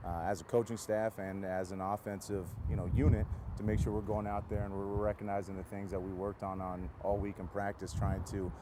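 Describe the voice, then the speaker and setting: Football coach guy, lowish voice, rambles earnestly in an interview, clear outdoor traffic noise. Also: flat delivery, a little fast, hardly any pauses. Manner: earnest, rambling, leans on "you know". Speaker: college football offensive coach. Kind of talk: casual interview answers. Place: outdoors near the football stadium. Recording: noisy outdoor setting, traffic rumble.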